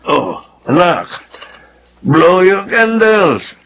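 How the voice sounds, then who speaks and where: A man with a Filipino accent playing a doting, excitable, proud father in a radio drama, expressive and exclamatory. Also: sits high, extremely slow, heavily acted. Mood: proud, festive.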